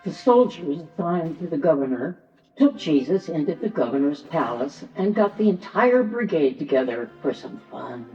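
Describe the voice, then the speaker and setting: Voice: mid-range voice, very animated, moderate pace, warm tone. A narrator reading a scripted Passion story, telling it dramatically and acting out the quotes. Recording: dull, distant, echoing church sound with music.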